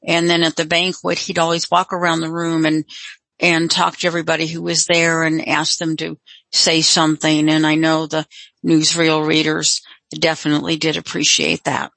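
A woman's voice: deep voice, completely flat delivery, normal speed, gravelly, fairly bright tone, no echo, rough recording. A woman from the United States calling in to a program, reminiscing casually. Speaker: caller, magazine subscriber.

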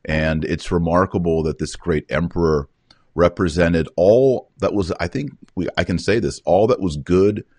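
A man with a Texan accent hosting a podcast interview, relaxed and joking, asking questions. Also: low voice, normal speed, dry recording. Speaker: podcast host. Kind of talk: casual conversational interview.

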